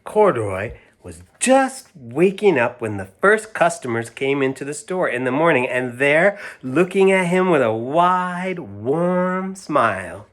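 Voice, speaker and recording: A man reading a children's picture book aloud, gently, with chatty asides as if talking to young children. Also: a little high. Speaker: storybook reader.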